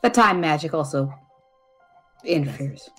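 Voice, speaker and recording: Woman as magical female companion. Slightly low voice, deadpan, grudgingly fond, teasing, fantasy audio story. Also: a little slow, husky, faint music behind, full character voice. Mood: dry teasing. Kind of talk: improvised story roleplay podcast.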